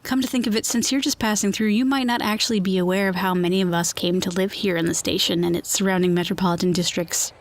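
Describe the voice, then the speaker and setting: A woman's voice: medium pitch, fairly even intonation, fast talker, hardly any pauses, natural voice. A woman playing a chatty, quirky radio host of a surreal underground city, informal and playful, in a scripted fiction podcast. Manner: informal, playful, given to tangents.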